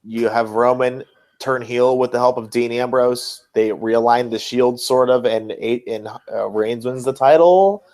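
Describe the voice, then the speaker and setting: A man's voice: mid-range voice, steady tone, unhurried, darkish tone. A man co-hosting a podcast, casually discussing wrestling in informal, slangy language with some swearing. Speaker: podcast co-host.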